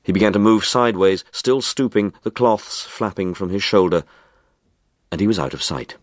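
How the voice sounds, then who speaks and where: A man reading a short story as dramatic scripted narration, in a measured, deliberate manner. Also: lowish voice, dry recording, clean studio sound. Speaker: story reader.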